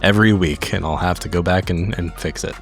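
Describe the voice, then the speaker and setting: A man's voice: sits low, fairly even intonation, fairly quick, faint music behind. A man co-hosting a game podcast as a player, in casual, dry, teasing banter.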